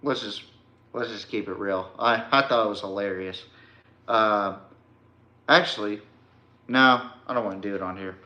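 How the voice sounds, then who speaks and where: A man hosting an informal sports podcast, ranting bluntly and mockingly in a halting way with repeated words. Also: on the low side, pushed and bright, roomy sound. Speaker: podcast host.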